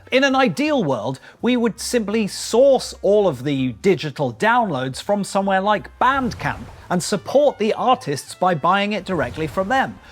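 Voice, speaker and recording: A man narrating a scripted tech video in a casual, wry, tongue-in-cheek way with dry asides. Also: sits high. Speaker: video creator and host. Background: music bed.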